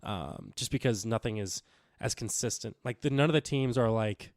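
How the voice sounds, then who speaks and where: Slightly hoarse man chatting about sports. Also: lowish voice, moderate pace, dry recording, clean studio sound. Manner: rambling with many fillers and restarts. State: recovering from illness, a bit raspy. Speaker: podcast co-host. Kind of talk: casual sports chat.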